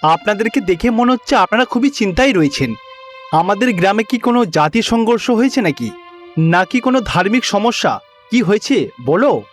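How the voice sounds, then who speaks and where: A man plays a kindly village headman in a cartoon moral tale. The voice is high for a man, with an earnest, caring manner as he worries over his people. Music plays behind him. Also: big pitch swings, normal speed. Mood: concerned, questioning. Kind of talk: cartoon story dialogue acting.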